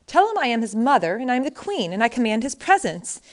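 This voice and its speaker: A woman's voice: fairly high pitch, big pitch swings, quick pace. A woman voicing a young storybook queen, earnest and imploring, with an insistent streak.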